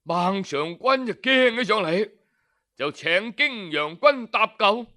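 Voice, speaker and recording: High-pitched, bright male storyteller, unhurried. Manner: colloquial, expressive, frequent rhetorical questions and exclamations. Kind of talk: traditional oral storytelling, audiobook narration.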